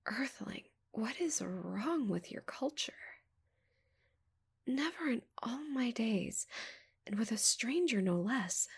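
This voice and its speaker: A slow, breathy giant alien woman, acted by a female ASMR performer, haughty yet easily flustered. She sounds prim and halting, curious about Earth, with a creaky, whispery edge. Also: fairly high pitch, animated, voice pushed up, full character voice. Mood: flustered, scandalized. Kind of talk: ASMR roleplay.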